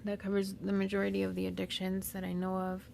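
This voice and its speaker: A woman with a Southern California accent whose first language is Spanish, talking unscripted and reflectively in a slow, pausing monologue as a podcast host. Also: mid-range voice, monotone, creaky, noisy background. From Mexican-American. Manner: slow, reflective, with pauses and fillers.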